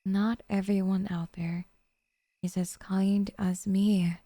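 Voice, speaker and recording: A woman playing a sweet, possessive, obsessive girlfriend in an ASMR roleplay, speaking softly and dotingly with a breathy intimacy. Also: medium pitch, flat delivery, slow, dry recording, studio quality.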